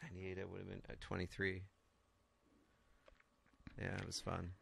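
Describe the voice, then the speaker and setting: A man's voice: low-pitched, monotone, normal speed, gravelly, fairly bright tone, slightly rough. A man in his forties, a guest musician, rambling loosely and swearing offhand. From Washington State, USA. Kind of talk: casual interview chat.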